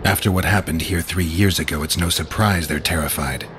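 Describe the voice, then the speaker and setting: A man voicing a stoic, gruff monster hunter in a video game, asking questions in a measured, terse way. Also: sits low, noisy background, lightly acted. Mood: dry, probing. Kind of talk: video game dialogue acting.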